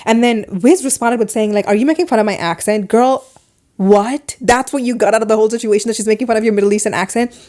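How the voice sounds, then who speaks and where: A woman with a foreign accent hosting a podcast, talking through her opinions in casual chat, animated and fast in bursts. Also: a little high. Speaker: podcast host.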